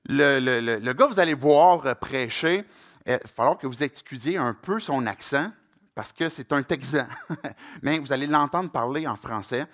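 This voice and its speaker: A man, a pastor, speaking casually to his congregation. He talks at an ordinary pitch and keeps restarting with lots of fillers. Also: moderate pace, fairly bright tone. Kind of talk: introduction to a church service.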